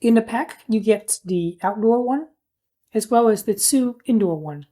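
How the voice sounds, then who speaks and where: A woman with a distinctly mellow, soft-edged tone and a slightly high voice. She talks through a product casually, as a reviewer would, in plain and informal terms. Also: lively intonation, a little slow. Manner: informal, plain-spoken explaining.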